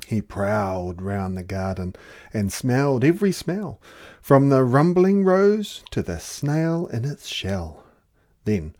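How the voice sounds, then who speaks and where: A storyteller reading a rhyming children's picture book aloud in an expressive, theatrical way. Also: mid-range voice. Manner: theatrical, dramatic delivery.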